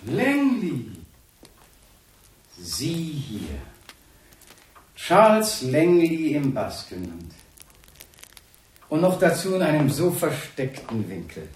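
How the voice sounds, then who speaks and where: Slow, swooping, suavely sinister husband. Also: male voice, a little high, huge pitch swings, a little mellow, exaggerated voice. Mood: suave, mocking. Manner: mannered courtesy, cooing endearments. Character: suavely sinister husband, falsely tender and condescending. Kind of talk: 1970s German radio drama acting. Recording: 1970s radio recording with faint noise.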